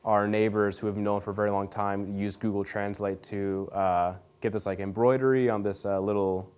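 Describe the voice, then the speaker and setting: A young man answers interview questions in a loose, rambling way, leaning on fillers and restarting his sentences. His voice sits low and sounds smooth and clear. He talks casually, like he is chatting with friends. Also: little pitch movement, normal speed, a little mellow. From Nebraska, USA. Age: young adult. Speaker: interview guest. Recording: radio studio interview.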